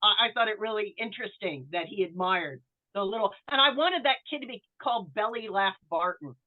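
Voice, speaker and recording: Female podcast panelist, thin phone-line sound, analytical, halting delivery. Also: medium pitch, expressive. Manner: analytical, halting with repeated words. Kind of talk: conversational discussion. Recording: thin, phone-like band-limited connection.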